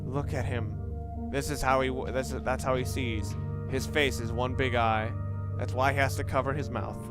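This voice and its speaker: A man's voice: mid-range voice, fairly even intonation, normal speed. A man giving casual let's play commentary in a rambling, conversational way, often correcting himself as he goes. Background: game music.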